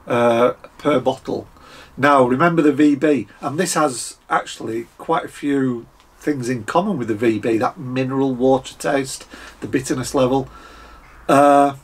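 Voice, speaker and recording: A Scottish man in his fifties with a croaky voice, chatting casually through a beer review at his desk, with frequent fillers and throat clearing. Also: fairly high pitch. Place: home workshop, indoors, small room.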